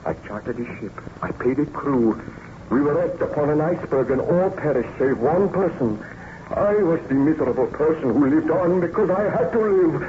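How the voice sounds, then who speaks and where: A man's voice: on the high side, lively intonation, moderate pace, close to an everyday voice. An actor playing a tormented, exhausted baron obsessed with destroying his monster, in melodramatic, solemn radio drama style. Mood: haunted. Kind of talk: old-time radio drama acting. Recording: muffled, noisy old radio recording.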